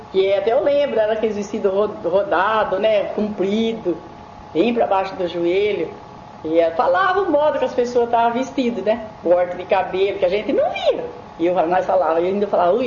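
Animated older Brazilian woman in echoey room. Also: mid-range voice. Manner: colloquial, acts out remembered remarks. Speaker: radio listener giving testimony. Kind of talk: casual reminiscing. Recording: echoey, muffled, faint background noise.

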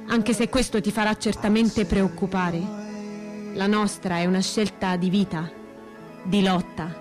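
An actress playing an earnest, resolute young woman militant in a radio theatre monologue, speaking in measured, declarative short phrases. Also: medium pitch, a little mellow, natural voice. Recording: music under the voice.

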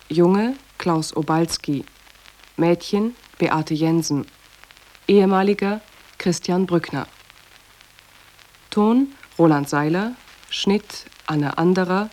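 A woman radio announcer reading scripted credits in a formal, measured, factual way. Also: low voice, a little mellow, rough recording.

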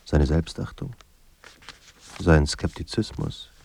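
A man narrating a literary radio drama about a despairing writer in a grave, measured, introspective manner. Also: a bass voice, creaky, darkish tone, husky, exaggerated voice. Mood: brooding. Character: somber male narrator of a despairing writer's life. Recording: music under the voice. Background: music.

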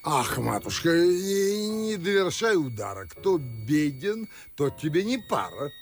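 A pompous middle-aged gentleman in a radio play of classic verse, scolding and suspicious. His voice is on the high side and swings widely in pitch. He draws his words out slowly and theatrically, in a close, dry sound. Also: very animated, soft background music. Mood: sardonic, grumbling. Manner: grumbling, pompous, theatrical drawn-out delivery.

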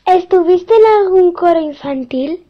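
A young interviewer asking prepared questions slowly and carefully. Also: very high-pitched, animated. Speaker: child interviewer. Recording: dull lo-fi radio sound.

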